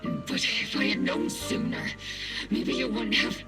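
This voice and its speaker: A woman's voice: mid-range voice, sing-song, measured pace, vocal fry. A woman playing a grim, grieving military commander in a game cutscene, under music. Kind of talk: dramatic game cutscene acting. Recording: loud music over the voice.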